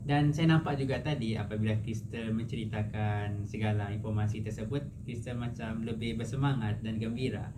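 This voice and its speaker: A man working as a counselor, speaking calmly and reflecting the client's words back to her. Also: lowish voice, brisk, hardly any pauses. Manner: calm, reflective, paraphrasing back. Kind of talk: counseling session. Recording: steady background noise.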